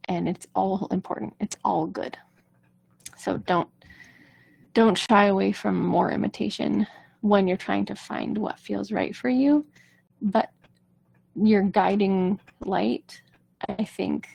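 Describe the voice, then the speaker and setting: A woman's voice: mid-range voice, gravelly, darkish tone, dry recording, low-quality recording. A woman vocal coach speaking casually and reassuringly to viewers in a live-streamed coaching chat.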